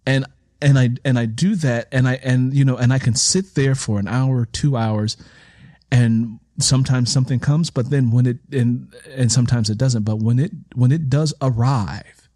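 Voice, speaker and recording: A man's voice: medium pitch, fairly even intonation, normal speed, mellow, no echo. A man speaking reflectively and conversationally as an interviewer and writer, with an emphatic manner that repeats phrases for stress. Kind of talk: reflective conversational interview talk about writing.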